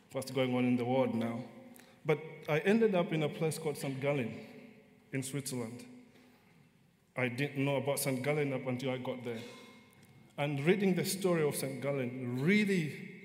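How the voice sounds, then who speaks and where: A middle-aged preacher with a Malawian accent delivers a sermon as a story. His voice sits at a medium pitch, and he moves deliberately, pausing within his phrases. The sound rings with the long reverb of a large hall. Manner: deliberate, halting phrasing with pauses. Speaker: guest preacher. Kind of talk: sermon told as narrative. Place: large hall, speaking to a gathering.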